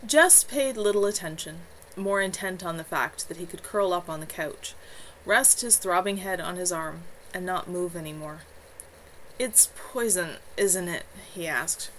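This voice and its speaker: A woman reads her own novel aloud at home, telling the story in a measured, even way. A faint hiss of noise sits under her voice. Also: on the low side, poor audio. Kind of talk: live read-aloud narration.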